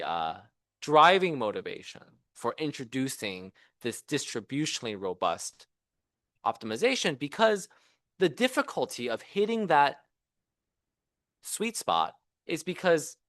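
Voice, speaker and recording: A man giving an engineering seminar talk as a professor, in a conversational, animated manner with rhetorical questions. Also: on the high side, no room sound, studio quality. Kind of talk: engineering lecture. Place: seminar room at a university.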